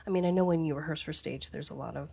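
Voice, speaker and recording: A woman's voice: low-pitched, rapid-fire, gravelly, mellow, breathy edge. A woman interviewer asking enthusiastic, somewhat rambling questions.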